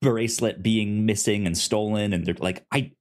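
A man's voice: lowish voice, fairly even intonation. A man chatting casually as a podcast co-host, rambling and often doubling back on his words as he gives his review. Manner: halting, rambling, repeats words.

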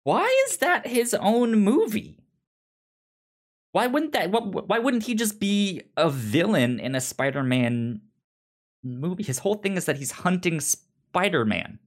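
A man co-hosting a casual pop culture podcast, speaking haltingly and often stammering over words. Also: high voice, big pitch swings. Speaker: podcast co-host.

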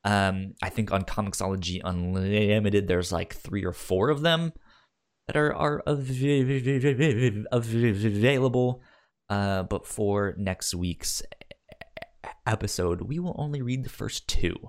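A man co-hosting a podcast in casual chat, halting and often repeating the starts of his words. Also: lowish voice. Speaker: podcast co-host.

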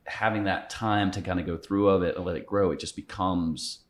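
A man with a very low, deep voice hosts a laid-back conversation. He rambles a little, circling his thoughts with easy filler before landing the point. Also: normal speed. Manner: relaxed, rambling, thinks aloud with "you know" and "it's like". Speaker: podcast host. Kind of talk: casual conversational interview.

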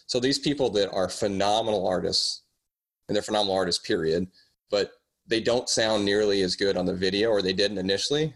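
A man with a low voice chats as a guest on a podcast. His delivery is casual and meandering, and he keeps softening and qualifying his own points. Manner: rambling, tentative, self-qualifying.

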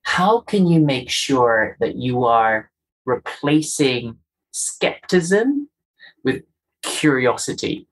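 Husky-voiced man, earnest and emphatic. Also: medium pitch, sing-song, slow pace, poor audio. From Kenya. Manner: earnest, emphatic, builds points in lists of rhetorical questions. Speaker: guest, culture and inclusion executive. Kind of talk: conversational interview, explanatory.

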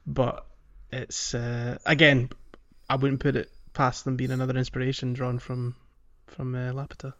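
A man's voice: mid-range voice, monotone, moderate pace, fairly bright tone. A man co-hosting a podcast in casual, agreeable conversation about films and games, on slightly lo-fi audio. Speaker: podcast co-host. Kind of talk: casual film and game discussion.

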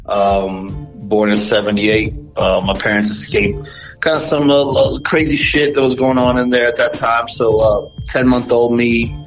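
A man's voice: medium pitch, level tone, unhurried, soft background music. A man in his forties from Argentina, raised in Miami, talking loosely as a guest rapper over a phone call. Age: 40s. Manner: laid-back, rambling, calls people brother. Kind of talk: casual interview over phone. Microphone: phone line.

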